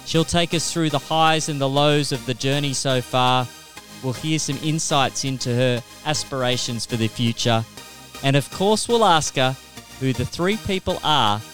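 An Australian man speaks as a podcast host. His voice sits at a middle pitch for a man. He delivers introductions and questions in a conversational interview style. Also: expressive, unhurried, a bit bright, faint music behind.

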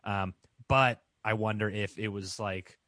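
A man's voice: fairly low pitch, steady tone, a little slow, pushed and bright, no echo, clean studio sound. A man in a casual chat, speaking loosely with a lot of "like" and casual swearing.

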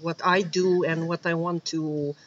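A woman talks in a relaxed interview, pausing and searching for the right English words. The recording sounds dull and lo-fi, with a faint noise underneath. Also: low voice. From Romania. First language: Hungarian. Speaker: bag designer, guest. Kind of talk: casual interview chat.

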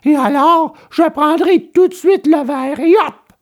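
Woman doing a squeaky high puppet kid, stubborn n whiny, storybook drama, music behind. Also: unhurried. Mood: cheerful, chatty. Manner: whining, exclamatory, childish protests. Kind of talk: audio storybook acting.

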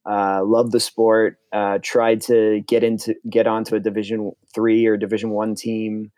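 A man's voice: lowish voice, level tone, moderate pace, warm tone, dry recording. Enthusiastic young man, dry sound. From Connecticut, USA. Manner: rambling, restarts often, enthusiastic. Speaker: filmmaker guest. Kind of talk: casual interview.

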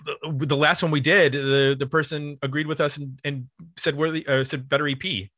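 A man's voice: fairly high pitch, flat delivery, normal speed, sharp tone, no echo, clean studio sound. A man hosting a podcast, talking casually about music in a rambling, enthusiastic way. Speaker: podcast host.

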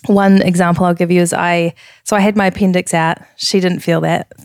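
Gravelly New Zealand woman, chatty. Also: lowish voice, little pitch movement, brisk, a little mellow, no echo, clean studio sound. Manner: laughs often, chatty and open. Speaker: guest. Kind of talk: casual podcast chat.

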